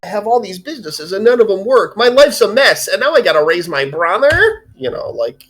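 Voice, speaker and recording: A man's voice: high-pitched, very animated, brisk, fairly bright tone. Guy just chatting about a movie, kinda rambly, loose and informal, swears a bit. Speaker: host of a live-streamed movie discussion. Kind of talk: casual chat, film review.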